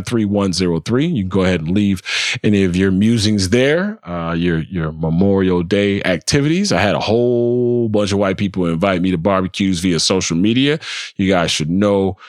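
A man's voice: on the low side, no echo, clean studio sound. An American man hosting a sports podcast, talking casually and bluntly, with slang, swearing, jokes and phrases repeated for emphasis. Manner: blunt, joking, slangy and profane. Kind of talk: casual opinionated sports talk.

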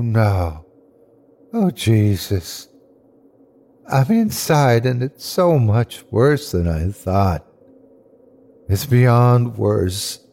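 A man acts out a dying man in a horror tale. His voice is strained and full of fry, coming slowly in short, halting bursts. He sounds anguished, caught up in something dreadful. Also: medium pitch, a little mellow. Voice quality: strained, sometimes whiny or growling. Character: dying man, frightened, awed, turning bitter and hateful. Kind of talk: dramatic horror story reading.

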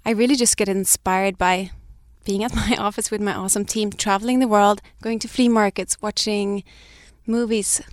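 Woman in her thirties from Sweden, interview guest, smooth resonant voice, dry close sound. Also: mid-range voice, steady tone, normal speed, no room sound. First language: Danish or Swedish. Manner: reflective, with frequent restarts and light laughs. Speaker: guest, fashion brand founder. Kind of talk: interview answers.

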